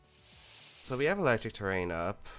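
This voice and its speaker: Man, gaming host, lowish clean voice, thinking out loud, slightly sick, faint music behind. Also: unhurried. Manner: thinks aloud, analytical, relaxed with fillers. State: a little sick. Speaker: YouTube competitive gaming host. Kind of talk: casual gameplay commentary.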